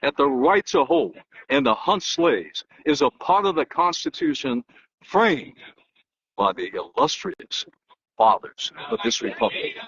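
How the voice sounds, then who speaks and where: Male orator, raised pitch with wide swings, husky edge, emphatic dramatic delivery, slightly dull recording. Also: fairly bright tone. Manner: emphatic, declamatory, with dramatic pauses and repetition. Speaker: political analyst and host. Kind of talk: dramatic speech reading and oratory. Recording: dull, lo-fi muffled sound.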